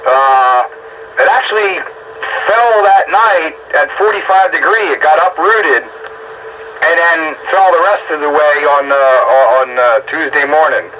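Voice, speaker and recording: A man's voice: a little high, takes it slowly. A man chatting casually over two-way radio in a folksy, informal way. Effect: two-way radio transmission. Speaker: amateur radio net participant. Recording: muffled, lightly noisy ham radio transmission.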